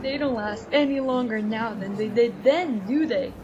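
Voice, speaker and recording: A woman's voice: a little high, normal speed, dull audio, a crowd in the background, background noise, natural voice. A woman voicing a reassuring, earnest seventh-grade boy in an audio drama. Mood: reassuring, reasoning.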